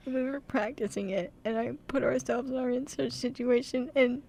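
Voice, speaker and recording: Young woman, high voice, radio co-host, gushing and dramatic in casual studio chat. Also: steady tone, moderate pace, gravelly, darkish tone, slight background noise. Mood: emotional. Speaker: radio show co-host and script reader.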